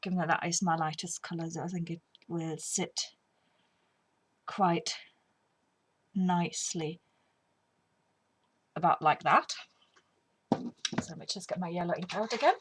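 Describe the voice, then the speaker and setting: A woman's voice: fairly low pitch, a little slow, pauses often, slightly rough. A middle-aged woman with a slight cold, chatting casually and rambling while demonstrating a craft technique. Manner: rambling, chatty, drops to whispers while working. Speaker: craft tutorial host. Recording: faint background noise.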